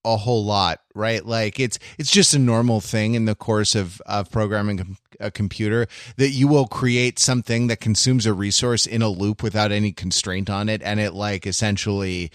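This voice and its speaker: A man chatting casually and analytically as a podcast panelist, in a halting, discursive way that circles back over phrases. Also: on the low side, fairly even intonation, no echo, studio quality.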